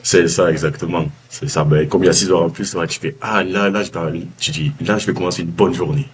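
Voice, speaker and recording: A man with a Southern French accent, a former baker giving a casual testimonial, halting with many fillers and restarts. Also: low voice, fairly even intonation, a little hiss or hum. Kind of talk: casual video-call testimonial. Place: calling from abroad, early morning.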